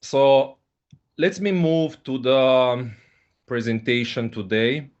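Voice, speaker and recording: A man hosts a live online presentation, his English halting and full of filler sounds. His voice sits at an ordinary middle pitch. The sound is a dull, lo-fi video-call recording. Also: fairly even intonation. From Bulgaria. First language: Russian. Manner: hesitant, frequent fillers, repeats "okay, guys". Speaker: host, company ambassador.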